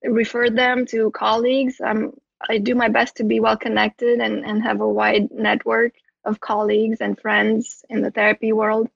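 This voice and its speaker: A woman's voice: a little high, completely flat delivery, normal speed, gravelly, breathy edge, dry recording, dull audio. A woman, a therapist talking as a guest in an interview, rambling with many restarts. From Romania. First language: Romanian. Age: 30s. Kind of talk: conversational interview.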